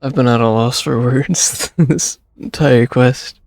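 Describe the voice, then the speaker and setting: A man's voice: medium pitch, slow, darkish tone, no room sound. A man giving casual, laid-back reaction commentary as a game streamer, musing aloud close to the microphone.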